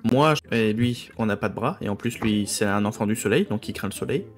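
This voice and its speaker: A man's voice: on the low side, a little fast. A man improvising playful roleplay banter as a streamer, theatrical and joking, prone to sudden outbursts.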